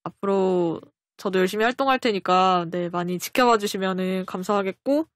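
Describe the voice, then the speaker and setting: A woman's voice: medium pitch, moderate pace, dry recording, studio quality. A woman talking casually as a self-effacing interview guest, choosing her words carefully. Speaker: guest comic artist.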